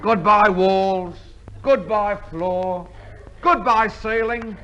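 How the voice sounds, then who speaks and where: A man's voice: high-pitched, steady tone, extremely slow, bright, forceful tone, highs cut off, heavily acted. A man playing a hapless, droll, overweight army recruit with a plaintive delivery in a 1950s radio comedy. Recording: noisy 1950s radio recording.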